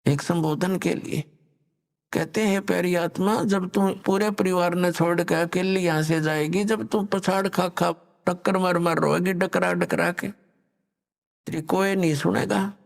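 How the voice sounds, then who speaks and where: A man preaching a devotional discourse and reciting couplets in a slow, drawn-out style. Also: high voice, fairly even intonation, vocal fry, big-room sound.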